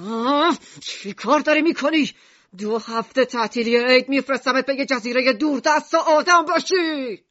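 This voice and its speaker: Man acting a high-voiced, hot-tempered stern father. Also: hardly any pauses, sharp tone, no room sound, dull audio. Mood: furious. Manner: indignant, commanding. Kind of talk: acted audio story dialogue.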